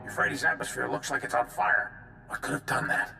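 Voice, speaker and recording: An actor playing a grave, battle-worn military general in a video game, with a gravelly voice. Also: male voice, mid-range voice, fairly quick, bright, forceful tone, reverberant, soft background music, full character voice. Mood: shaken. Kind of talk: video game cutscene acting.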